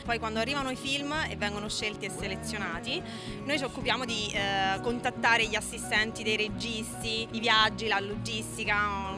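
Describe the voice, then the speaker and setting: A woman who works as festival staff, explaining her job in an interview. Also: mid-range voice. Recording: music playing behind the voice.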